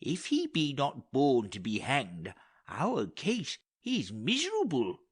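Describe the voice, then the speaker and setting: A man playing a wry, talkative old courtier in a theatrical audiobook drama, speaking in a deliberate, sardonic way. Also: mid-range voice, huge pitch swings, husky, pitched above the performer's own voice, exaggerated voice. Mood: wry, mocking. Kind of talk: dramatic audiobook reading of Elizabethan verse drama.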